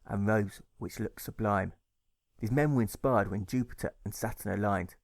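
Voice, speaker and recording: A man reading a rhyming audiobook story aloud in measured, rhythmic phrases. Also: on the low side, vocal fry, no room sound, clean studio sound. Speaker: author reading his own audiobook. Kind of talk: scripted storybook narration in rhyme.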